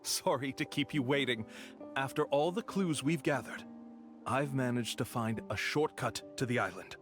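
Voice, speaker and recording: An actor playing a polite, reassuring tour guide in a video game, with an earnest sales patter. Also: male voice, medium pitch, normal speed, music in the background, natural voice. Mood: apologetic, persuasive. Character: smooth-talking male tour guide, polite, reassuring con artist.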